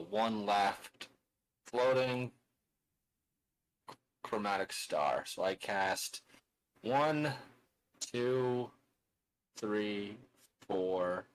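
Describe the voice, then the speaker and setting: A man plays a tabletop card game and talks through each step in a casual way. His voice is on the low side and he takes his time, often muttering or counting aloud as he thinks out his turn. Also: poor audio. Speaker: card game player and streamer.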